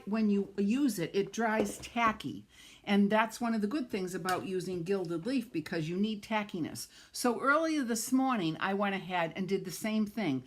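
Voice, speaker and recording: A middle-aged woman with a New England accent, chatting and gushing as she demonstrates card making on a live stream and greets viewers by name. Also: medium pitch.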